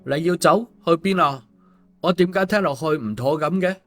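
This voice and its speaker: A man's voice: fairly high pitch, normal speed, fairly bright tone, soft background music, pitched above the performer's own voice, natural voice. A man voicing an easygoing, concerned male story hero in colloquial audiobook dialogue. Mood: surprised, concerned.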